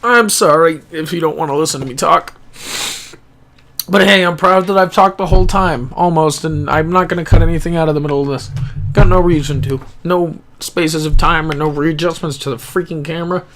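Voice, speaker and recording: A young man talking earnestly and reflectively into his camera in a rambling personal vlog, pausing and restarting as he thinks. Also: a little high, fairly even intonation, fairly bright tone. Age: young adult. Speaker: gaming YouTuber. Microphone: camera-mounted microphone, close.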